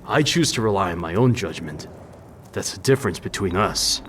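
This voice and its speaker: An actor playing a jaded, sardonic war veteran and brewer in a fantasy video game, dry and wistful. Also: male voice, deep voice, lively intonation, normal speed, a bit bright, slight background noise. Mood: bitter.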